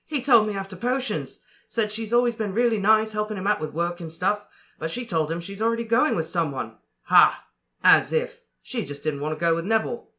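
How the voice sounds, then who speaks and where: An excitable teenage boy blurts and exclaims, loud and agitated, as a storyteller plays him. The pitch rides above the reader's own, bright and forceful. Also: normal speed. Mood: gossipy.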